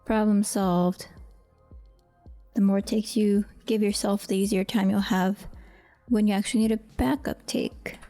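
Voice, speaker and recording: Rich A woman with a soft-edged, mellow voice talks casually through an audio editing job. She thinks aloud, murmuring to herself in short fragments. Faint music plays behind her. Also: medium pitch, fairly even intonation, unhurried. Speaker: voice actor and audio editor.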